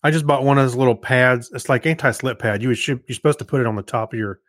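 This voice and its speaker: A man's voice: medium pitch, quick pace, a bit bright, no room sound, studio quality. A man co-hosting a podcast in casual conversation, sharing everyday anecdotes. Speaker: podcast co-host. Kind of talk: casual chat, personal anecdotes.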